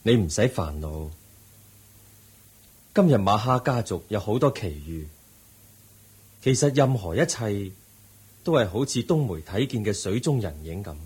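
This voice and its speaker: A man acts out the Buddha, a gentle sage in an old radio drama who explains things patiently in Cantonese-accented speech. His voice sits low and husky. The tone is soft and warm. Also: very animated, full character voice. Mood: teaching. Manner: patient, instructive, measured. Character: calm wise male Buddha teaching gently.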